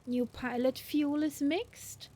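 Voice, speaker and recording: A woman's voice: high voice, fairly even intonation, slow, mellow. A woman in casual voice chat during an online group flight.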